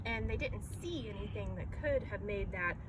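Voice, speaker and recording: A woman's voice: medium pitch, lively intonation, normal speed, soft background music. A woman reading a children's story aloud as narrator. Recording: clearly noisy background.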